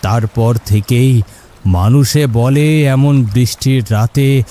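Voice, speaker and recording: A man's voice: medium pitch, slow pace, dark tone, lightly acted. A man voicing a village boatman in a horror cartoon, speaking solemnly as he tells a tale. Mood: grave recounting. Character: village boatman, calm and grave storyteller. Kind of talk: Bengali horror cartoon voice acting.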